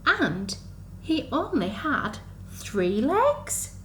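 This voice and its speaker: A woman with a fairly high voice tells a children's story very slowly, with sing-song exaggeration. She speaks as if to a group of under-fives. The recording is echoey, with some light noise behind her. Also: big pitch swings, fairly bright tone. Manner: sing-song, exaggerated, asks the listeners questions. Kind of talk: animated storytime reading to young children. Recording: echoey room, faint background noise.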